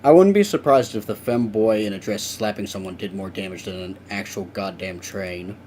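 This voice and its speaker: A young man with a lowish voice rambles through a casual live stream, chatting with viewers as he works. He drops the odd curse without fuss. A faint hiss sits under the recording. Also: moderate pace, darkish tone. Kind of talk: casual live stream chat while drawing.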